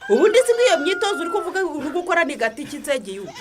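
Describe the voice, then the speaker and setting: High, animated, scolding Rwandan woman. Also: very fast, noisy background. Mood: exasperated, urging. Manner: scolding, insistent, reasoning. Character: exasperated nagging woman urging stubborn man to seek care. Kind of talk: radio drama acting.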